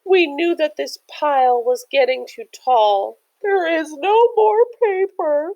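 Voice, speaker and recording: A woman's voice: high-pitched, takes it slowly, warm tone, highs cut off, voice pushed up. A woman voicing a small, panicky crew of storybook paint scribbles in a plaintive, crying manner. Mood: distressed. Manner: plaintive, wailing.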